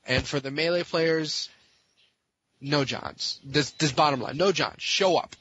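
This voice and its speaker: A man with a forceful, rough-edged voice gives his opinions as a podcast guest. He is blunt and emphatic, pressing his listeners in casual speech. The recording is dry and somewhat dull. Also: on the high side, measured pace. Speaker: podcast guest, gaming tournament organizer. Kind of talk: impassioned casual opinion talk.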